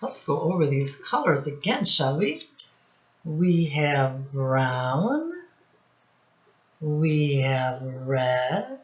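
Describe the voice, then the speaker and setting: A woman's voice: low-pitched, big pitch swings, extremely slow, long pauses, lo-fi sound. A woman leading a storytime lesson for young children, encouraging them and asking them questions directly. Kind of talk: read-aloud lesson quizzing young children.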